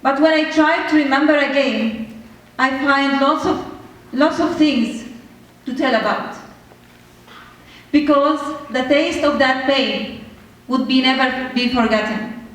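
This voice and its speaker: A woman from Syria giving an earnest prepared speech about being a refugee, speaking slowly and with measured care. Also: sits high, a bit bright. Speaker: speaker sharing refugee story. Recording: echoey hall from far off.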